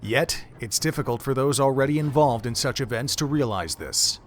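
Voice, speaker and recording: A man's voice: mid-range voice, moderate pace. A man giving solemn, literary scripted narration for an audio drama.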